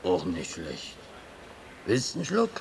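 An actor playing a boozy, nosy but friendly drifter at a railway station, with a gravelly voice and a teasing, familiar manner. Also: male voice, low-pitched, huge pitch swings, slow, exaggerated voice. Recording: clearly noisy background.

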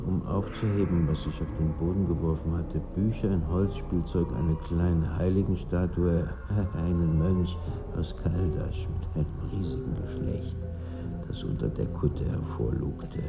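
A man acting as a reflective first-person narrator in a German radio play, with measured, literary storytelling. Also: deep voice, highs cut off.

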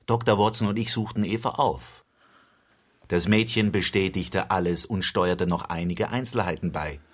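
An actor playing a self-assured, coolly logical detective who lays out a case in an articulate, measured radio drama style. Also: male voice, sits low. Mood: matter-of-fact. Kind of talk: radio drama acting, explanatory monologue.

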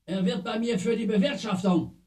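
A man's voice: sits high, moderate pace, darkish tone, husky. An actor playing a pompous, commanding old scholar who scolds his servant in a radio drama. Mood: stern, commanding.

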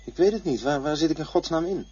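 An actor playing a bewildered man who wakes in a coffin and haltingly describes what he saw. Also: on the high side, lively intonation, dark tone, slightly rough. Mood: dazed, wondering. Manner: dreamy, halting, searching for words. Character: bewildered man waking in a coffin after dying. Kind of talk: radio drama acting. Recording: dull lo-fi radio play recording, faint hiss.

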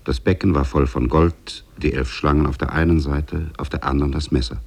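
A man as a solemn storyteller narrating a 1950s radio drama, gravelly and husky. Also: a bass voice, steady tone, a little fast, exaggerated voice. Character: solemn storyteller of Arabian Nights tales.

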